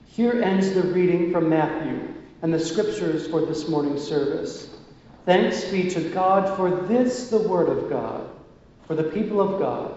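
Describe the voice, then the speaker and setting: A man with a high voice and a mellow, warm tone reads scripture at an unhurried, measured pace. His voice rings through a church sanctuary with long, echoing reverb, and the sound is muffled. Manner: slow, measured liturgical reading. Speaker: music director reading scripture. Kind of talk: scripture reading in a church service. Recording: echoey church hall, muffled.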